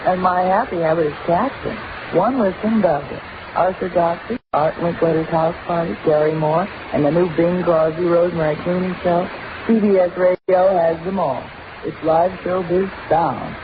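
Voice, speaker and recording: Noisy, narrow vintage radio: female promo announcer, playful, confiding, medium pitch. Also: steady tone, normal speed. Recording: tinny, noisy old radio broadcast.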